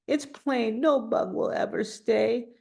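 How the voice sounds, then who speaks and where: Woman as a high, tearful lonely lady spider. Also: slow pace, vocal fry, a little mellow, heavily acted. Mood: tearful, forlorn. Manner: tearful, plaintive. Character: lonely, sorrowful female spider longing for company.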